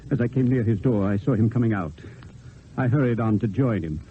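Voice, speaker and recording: Actor as worried loyal friend, tinny radio. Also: male voice, on the low side, fairly quick, lightly acted. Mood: anguished, worried. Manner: earnest, theatrical. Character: Lebray, worried loyal male friend of a poet. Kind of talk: old-time radio stage acting. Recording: tinny, noisy old radio recording.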